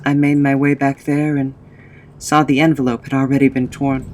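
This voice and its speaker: A man's voice: medium pitch, level tone, normal speed, a little mellow, slight background noise, lightly acted. A man playing a guilt-ridden man who recounts his tragic past in a brooding, remorseful dramatic monologue. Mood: grim, tense. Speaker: narrator of own backstory.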